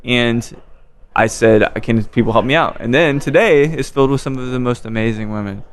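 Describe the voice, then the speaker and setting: A man's voice: mid-range voice, moderate pace. A man hosting a podcast, chatting in a friendly, encouraging way with his guest. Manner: friendly, conversational, encouraging interviewer. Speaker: podcast host. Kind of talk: casual interview chat.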